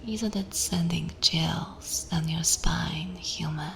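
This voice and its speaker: A seductive vampire mistress played by a woman whispers in an intimate ASMR roleplay. Her breathy voice sits a little low and moves extremely slowly, with long, deliberate pauses. She is dominant and condescending, over a noticeable layer of background noise. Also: a bit bright, full character voice. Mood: seductive, hushed.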